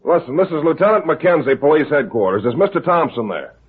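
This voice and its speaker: An actor playing a gruff, plainspoken police lieutenant, exasperated and grumbling, in classic radio drama. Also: male voice, on the high side, expressive, brisk. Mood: frustrated, businesslike. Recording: muffled, lo-fi vintage radio recording.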